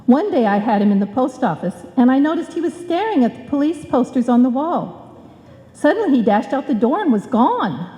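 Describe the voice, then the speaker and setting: In a muffled, echoey hall, a woman puts on a haughty society matron for vintage radio comedy. The voice is fairly high and mellow, with formal, refined, airy delivery. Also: big pitch swings, fairly quick. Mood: lofty. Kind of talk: old-time radio comedy acting before a live audience.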